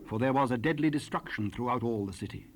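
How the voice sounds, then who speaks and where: Medium-pitched male scripture narrator, faint tape noise. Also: fast talker. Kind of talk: dramatized scripture narration, archaic English. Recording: noisy raw cassette rip.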